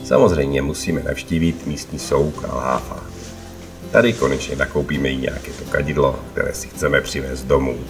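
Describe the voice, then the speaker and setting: Very deep male travelogue narrator over music.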